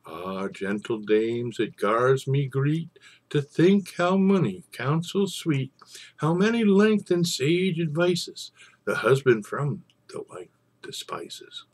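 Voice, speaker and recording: A man speaks as a storyteller at a very unhurried pace. His voice is husky and rough-edged. He is theatrical, lingering over phrases and leaving pauses between them. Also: mid-range voice, animated. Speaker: storyteller and poetry reader. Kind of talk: informal introduction, then dramatic poetry recitation.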